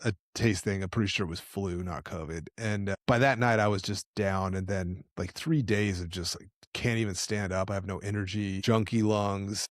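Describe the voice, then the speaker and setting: A man's voice: on the low side, steady tone, normal speed, hardly any pauses, no echo, clean studio sound. A man co-hosting a podcast, telling a laid-back story while getting over the flu, sounding a little nasal. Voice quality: slightly nasal. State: recovering from flu, lingering cough. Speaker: podcast co-host. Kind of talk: casual podcast chat.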